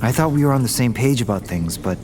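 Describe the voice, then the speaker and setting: A man's voice: medium pitch, expressive, fast talker, music in the background, natural voice. An actor playing a wistful young man getting over a breakup, in an audio drama. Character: heartbroken young man missing his ex.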